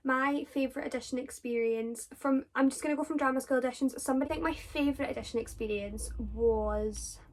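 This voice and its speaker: A young woman with a Scottish accent, her voice sitting a little high. She talks casually through viewers' questions, rambling with many fillers and restarts. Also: brisk, few pauses. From Scotland. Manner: chatty, with frequent fillers and self-corrections. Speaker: drama student vlogger.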